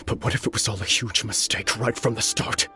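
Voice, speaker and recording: An actor playing a broken, guilt-ridden old swordmaster in a game, speaking in an anguished, pleading way. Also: male voice, on the low side, steady tone, a little fast, pushed and bright, faint music behind.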